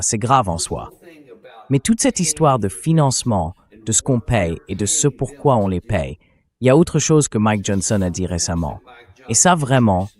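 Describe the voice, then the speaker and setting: A man's voice: medium pitch, a little mellow. A man's AI dubbing voice delivering blunt, indignant, sarcastic political commentary. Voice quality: synthetic AI dubbing voice.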